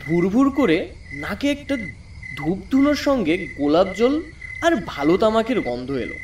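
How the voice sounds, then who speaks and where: High, animated storytelling man as a schoolboy narrator. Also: moderate pace, distant mic, a little hiss or hum. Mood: animated recounting. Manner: expressive storytelling. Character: runaway schoolboy recounting a ghostly adventure. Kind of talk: dramatic first-person story narration.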